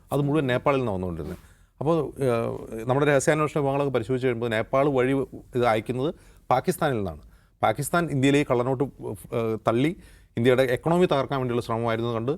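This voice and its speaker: A man with a Kerala accent speaking as a news panelist, explaining current affairs in detail. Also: medium pitch. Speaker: news anchor and panelist on a news discussion show.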